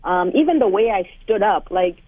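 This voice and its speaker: A woman in her thirties from Malawi, a guest author telling her story in a radio interview with lively, laughing energy. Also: medium pitch, normal speed, no room sound. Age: 30s. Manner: animated storyteller, laughs often. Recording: tinny phone line.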